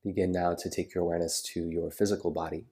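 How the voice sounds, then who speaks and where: A man guiding a meditation in a soothing manner, with unhurried phrasing and gentle pauses. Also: sits low, flat delivery. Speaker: yoga nidra meditation guide. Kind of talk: guided meditation.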